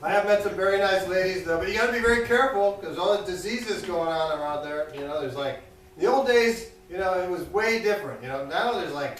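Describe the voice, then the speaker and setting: High-voiced man doing deadpan stand-up. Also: slight background noise. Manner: deadpan one-liners with self-mocking asides. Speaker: stand-up comedian. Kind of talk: open-mic stand-up set. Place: small cafe stage. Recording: echoey room recorded from a distance. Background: audience laughter.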